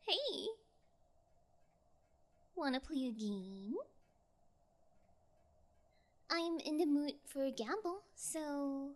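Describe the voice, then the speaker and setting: Casting: woman performing a yangire girl captor, ASMR audio drama; unhurried, very expressive swings, bored, teasing, sweetly sociopathic. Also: sits high, full character voice. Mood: playful, teasing. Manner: lazy, teasing, falsely sweet, casual. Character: bored young female yangire kidnapper, playfully sociopathic. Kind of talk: ASMR audio role play.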